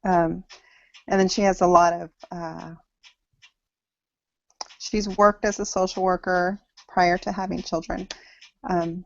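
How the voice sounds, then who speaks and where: A woman in her thirties from Samoa and Hawaii, presenting to an online class in a halting way with frequent pauses, heard over a video call. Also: lowish voice. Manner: halting, pausing often mid-sentence. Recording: dull lo-fi video call audio.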